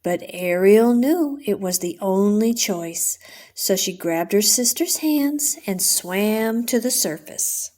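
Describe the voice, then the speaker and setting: A middle-aged woman reading a children's story aloud as a storyteller, in a deliberate, expressive way. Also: mid-range voice. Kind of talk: bedtime story narration, read aloud.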